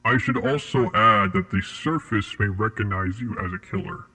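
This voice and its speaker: A man playing a cold, formal interrogator at a secret facility in a horror audio drama, with a husky, measured delivery. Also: on the low side, sharp tone, poor audio. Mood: clinical, matter-of-fact.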